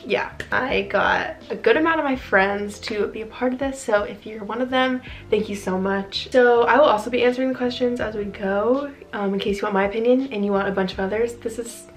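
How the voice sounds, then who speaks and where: A woman's voice: on the high side, brisk, hardly any pauses. Young woman, vlogger, casual chat, fillers, faint music behind. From New Jersey. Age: young adult. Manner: chatty, frequent "um" and "like". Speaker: vlogger, college freshman.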